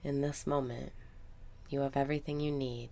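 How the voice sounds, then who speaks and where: A woman leading a guided meditation in a calm, soothing manner, with soft, sometimes breathy phrasing and deliberate pauses. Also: very deep voice. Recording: background noise with ambient sound.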